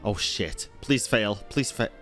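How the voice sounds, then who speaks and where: A man's voice: fairly low pitch, slow pace. A man livestreaming a game with casual, sweary, off-the-cuff reactions. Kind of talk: casual live gameplay reaction. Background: game music.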